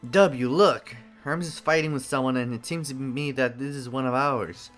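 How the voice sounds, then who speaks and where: A man's voice: mid-range voice, moderate pace, fairly bright tone, faint music behind, lightly acted. A man voicing a loyal male soldier reporting to his captain in the middle of a fight. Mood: urgent.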